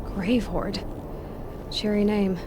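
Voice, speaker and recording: A woman playing a determined, sharp-tongued young huntress in a game cutscene, weary and sarcastic. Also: medium pitch, fairly even intonation, slow, lightly acted. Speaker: game protagonist. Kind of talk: video game cutscene acting. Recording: game audio with clear background noise.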